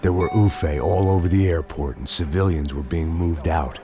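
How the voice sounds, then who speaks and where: Man acting a bitter middle-aged American ex-cop, very low voice, deadpan, noir game narration, loud music underneath. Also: normal speed, close to an everyday voice. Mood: grim, weary. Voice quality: low, world-weary. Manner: sardonic, deadpan. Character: fat bald bitter ex-cop turned vengeful gunman.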